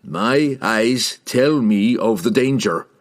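A man's voice: mid-range voice, voice pushed up. A man voicing a stern, stubborn young male barbarian warrior in an audiobook, speaking bluntly and evenly. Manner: measured, blunt, even. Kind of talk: audiobook character voice.

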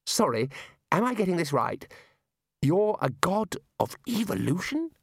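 Guy doing a polite nerdy young wizard, careful words, lively delivery. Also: high-pitched, measured pace, vocal fry, slightly rough, no echo, clean studio sound, pitched above the performer's own voice, heavily acted. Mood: earnest. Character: earnest young male wizard, pedantic.

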